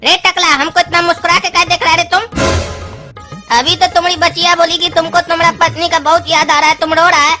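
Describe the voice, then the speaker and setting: A woman's voice: extremely high pitch, flat delivery, quick pace. A woman voicing a cheeky, bullying little boy in a comic cartoon, brash and mocking, with a Bihari accent. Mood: indignant, mocking. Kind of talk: cartoon skit dialogue. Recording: music clearly behind the voice.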